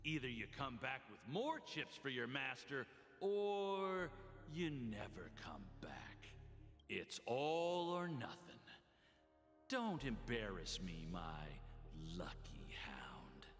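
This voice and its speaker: An actor playing a smug, cruel gambler and slave master in a video game, with a taunting, theatrical, drawn-out delivery. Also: male voice, mid-range voice, very animated, a bit bright, heavily acted. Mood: contemptuous, menacing. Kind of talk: dramatic video game voice acting. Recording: long hall reverb, music behind. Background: music.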